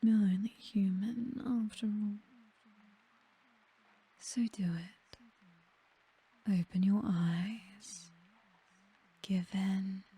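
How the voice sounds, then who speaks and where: A woman acts out a seductive British vampire mistress for an ASMR roleplay. Her voice is a very breathy, dark whisper, unhurried and hypnotic. She is possessive and commanding, yet tender as she coaxes. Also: mid-range voice, fairly even intonation, full character voice. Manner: slow, coaxing, hypnotic, teasingly commanding.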